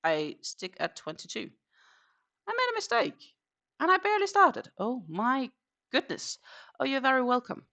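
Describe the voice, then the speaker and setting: A woman's voice: high-pitched, sing-song, normal speed, long pauses, sharp tone. A woman with a Danish accent chatting casually on a crochet live stream, counting her stitches aloud and answering viewers.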